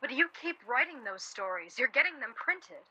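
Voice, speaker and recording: A woman's voice: high-pitched, very animated, normal speed, sharp tone, breathy edge, heavily acted, poor audio. An actress playing a spirited, dry-humoured young woman of the 1940s in a radio play, speaking matter-of-factly. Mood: firm.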